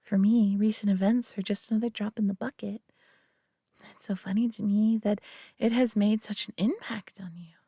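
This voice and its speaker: A woman's voice: mid-range voice, fairly even intonation, normal speed, no room sound, clean studio sound. A woman voicing a prideful, doting alien who owns a human pet, teasing and condescending yet affectionate in an intimate audio roleplay. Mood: amused, sympathetic. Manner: teasing, condescending, affectionate, often hushed and breathy.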